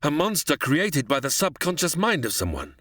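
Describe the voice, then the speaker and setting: A proud, defensive father and man of science, acted by a man, speaks pompously with wide melodramatic swings in pitch. It is a theatrical retro radio parody style, recorded close and dry. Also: sing-song, moderate pace, fairly bright tone, dry recording. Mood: pedantic.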